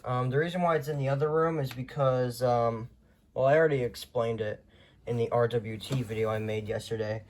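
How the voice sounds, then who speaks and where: A man speaks in an ordinary mid-range voice, casually presenting things he owns. He is low-key and offhand, making it up as he goes like a home vlogger. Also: steady tone, brisk. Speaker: vlogger showing a weather radio collection. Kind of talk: casual unscripted show-and-tell. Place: indoors, rooms at home.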